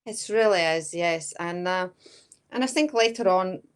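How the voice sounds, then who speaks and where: Latvian woman with a Scottish tinge, lowish. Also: unhurried, smooth. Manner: hesitant, many fillers, often says kinda. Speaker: guest medium. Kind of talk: casual interview answers.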